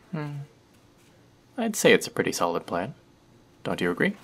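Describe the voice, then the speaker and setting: A man's voice: lowish voice, creaky, husky, heavily acted. A man playing a chatty young barista who teases and rambles in a friendly way, in a scripted audio roleplay. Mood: persuasive. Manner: playful, rambling, teasing.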